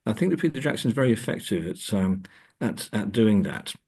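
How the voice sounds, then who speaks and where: A man speaking as an academic interview guest, discussing books and films in a hesitant, thoughtful, halting way. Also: fairly low pitch, big pitch swings, dark tone, husky. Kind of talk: conversational literary and film discussion.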